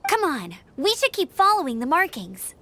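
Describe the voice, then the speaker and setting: A woman playing an earnest, energetic young scout knight in a video game, brisk and confident. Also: high voice, dry recording, full character voice. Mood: focused. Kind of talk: animated video game dialogue. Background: game music.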